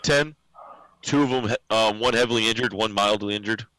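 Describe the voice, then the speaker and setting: A man acting as game master in a tabletop role-playing game, relaxed and joking, laughing often as he calls out turns and damage. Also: fairly low pitch, a little fast, dry recording, rough recording.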